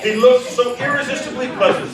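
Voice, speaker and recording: A man's voice: very high voice, brisk, a crowd in the background. A man reading scripted storytelling narration as a narrator in a live drama. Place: live theatre stage. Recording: very echoey stage from far off.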